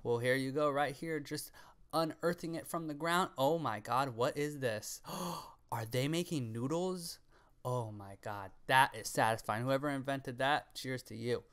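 A man's voice: medium pitch, a little slow, a bit bright, smooth. A man in his twenties giving casual, off-the-cuff reaction commentary into a close microphone, slangy and sweary with playful sarcasm. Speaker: reaction video creator. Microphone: close studio microphone on arm, with headset.

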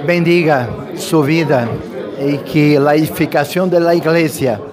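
A man's voice: on the high side, normal speed, a crowd in the background. A man from Brazil giving a solemn blessing into a lapel mic.

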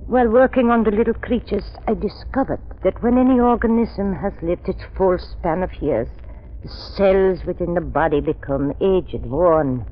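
An actor playing an elderly, obsessive scientist, agitated and halting, in theatrical 1930s radio drama style. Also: male voice, sits high, lightly acted. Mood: urgent, trembling. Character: elderly, anxious, obsessive male scientist doctor, trembling and fervent. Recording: dull, noisy old 1930s radio recording.

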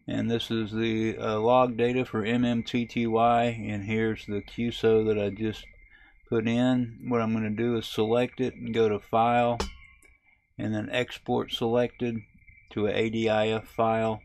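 A man hosting a ham radio tutorial, talking through a software setup in a relaxed, halting way with frequent fillers. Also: on the low side. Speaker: ham radio video host. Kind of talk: casual screen-recorded tutorial. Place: camper.

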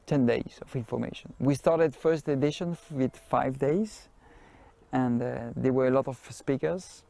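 A middle-aged man talks in an interview outdoors among trees. His voice is mellow and dark, and he halts often with fillers as he explains things. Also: medium pitch, vocal fry. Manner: halting, many fillers. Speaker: festival organizer. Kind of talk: casual interview answers. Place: outdoors in a forest.

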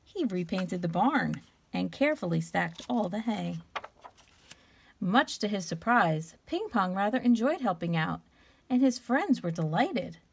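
A woman reads a picture book to young children with very lively, swooping intonation. There is some creak in her voice. She draws out key words and stops to put questions to her listeners. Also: mid-range voice. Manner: expressive, stretches key words, pauses to ask questions. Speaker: teacher reading aloud. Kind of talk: animated children's storybook read-aloud.

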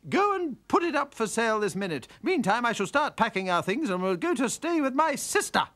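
A man doing a shrill indignant wife. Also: big pitch swings, brisk, a bit bright, full character voice. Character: shrill fussy middle-aged wife.

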